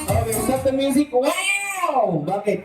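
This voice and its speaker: High-voiced, animated man hosting a puppet show. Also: slow, a loud crowd. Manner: animated, coaxing, playful. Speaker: ventriloquist entertainer hosting a birthday party show. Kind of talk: live puppet comedy patter for an audience. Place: party venue with guests. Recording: echoey room, distant mic, faint music.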